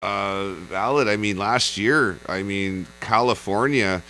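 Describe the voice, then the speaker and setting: A man with a forceful, fairly low voice chats off the cuff as a podcast co-host. His way of talking is blunt and slangy, with a joking streak. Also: takes it slowly, slight background noise, poor audio. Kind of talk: casual, off-the-cuff chat.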